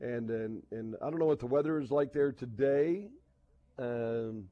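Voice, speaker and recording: A man hosting a casual sports radio talk show, speaking in a halting, repetitive way and often restarting his sentences. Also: mid-range voice, dry recording, muffled recording.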